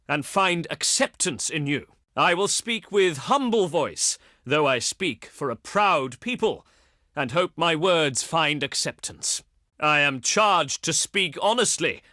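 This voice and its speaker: A man's voice: on the high side, sharp tone, no room sound, studio quality, heavily acted. A male actor voicing a haughty, eloquent ancient Greek diplomat in a video game, with formal, declamatory and pompous delivery. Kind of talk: theatrical game character acting, formal archaic speech.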